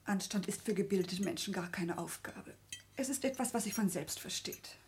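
An actress playing a prim, defensive bourgeois daughter in a radio play, speaking in a stiff, genteel, formal way. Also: female voice, medium pitch, normal speed, slight background noise, natural voice. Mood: haughty. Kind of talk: German radio play acting.

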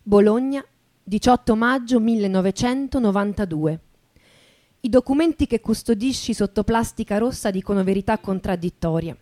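A woman giving a deliberate, theatrical reading of literary narration. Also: medium pitch, warm tone. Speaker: reader and stage performer. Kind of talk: dramatic literary reading, second-person narration. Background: plucked acoustic guitar music.

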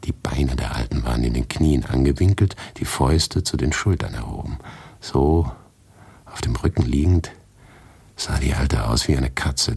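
Radio play narrator: grim, furtive man, bottomless bass, heavily raspy, matter-of-fact and methodical. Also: darkish tone, full character voice. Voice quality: gravelly, raspy. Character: grim, furtive male first-person narrator, coldly methodical. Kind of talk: first-person literary radio drama narration.

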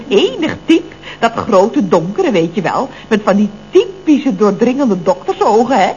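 An actress playing a chatty, exclamatory Dutch office secretary in the style of 1970s radio comedy. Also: female voice, on the high side. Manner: gossipy, exclamatory. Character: chatty, gushing office secretary, drily puzzled by her boss. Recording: dull, noisy old radio recording with hum.

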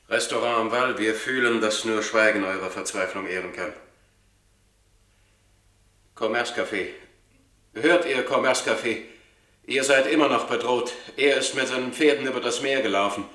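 A man's voice: medium pitch, moderate pace, pauses often, sharp tone, close to an everyday voice. Radio play role: man playing solemn warning-giver on a microphone, echoing from far off, grave. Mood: grave, hopeful. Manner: grave, announcing. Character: solemn, anxious male operator relaying warnings by microphone. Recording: echoey, distant from microphone.

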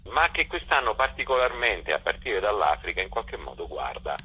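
A man giving an unscripted radio interview, presenting a programme in long enumerating explanations with hesitant pauses. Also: lowish voice, very animated, slightly rough. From Italy. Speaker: festival director being interviewed. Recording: muffled, band-limited phone line.

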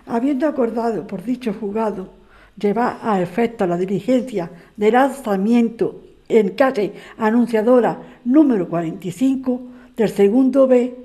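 Audio drama mother, played by a woman: confused, anxious, dark warm tone, slightly high pitch. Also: moderate pace, natural voice. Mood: reading haltingly. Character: worried, confused mother facing eviction, wary.

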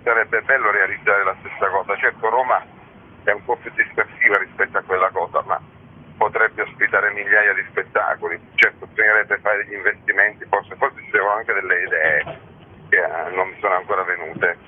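A man's voice: on the low side, steady tone, fairly quick, a little hiss or hum. A man giving a conversational radio interview as a festival organiser, talking in a discursive, frank, matter-of-fact way. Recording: muffled, lo-fi radio interview audio.

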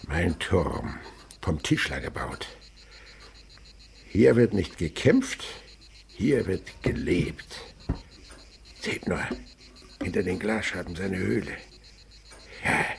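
Low, animated, creaky nature-loving father. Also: slight background noise, exaggerated voice. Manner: theatrical, rhapsodic, lecturing to children. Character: enthusiastic insect researcher, rural father, impassioned and lyrical. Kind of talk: German radio drama acting.

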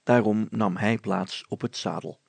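A man narrating a children's story as an expressive storyteller. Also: lowish voice, unhurried, gravelly, breathy edge, no room sound.

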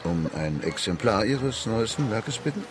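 Deep-voiced courteous gentleman author. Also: sing-song, a little slow. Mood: polite curiosity. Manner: polite, hesitant, formal. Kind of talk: German radio drama acting. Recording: music behind the voice.

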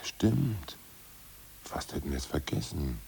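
Radio play: older deposed party boss, very deep and husky, lively pitch, whispering schemer. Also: male voice, slow, a little hiss or hum, full character voice, rough recording. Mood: scheming. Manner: hushed, conspiratorial whispering. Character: ousted old party boss, scheming and bossy. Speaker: ousted Politburo member. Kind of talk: 1980s radio play acting.

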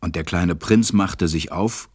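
A man's voice: sits low, unhurried, breathy edge. A man narrating a children's story in a scripted radio play.

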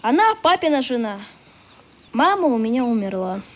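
A high-voiced schoolboy in a radio drama, with lively, swinging intonation. He is frank and artless, earnest and a little hurt, and the sound carries a long roomy reverb. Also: normal speed, slight background noise. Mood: simple, subdued. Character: earnest, hurt schoolboy who fled summer camp. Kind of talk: radio play acting.

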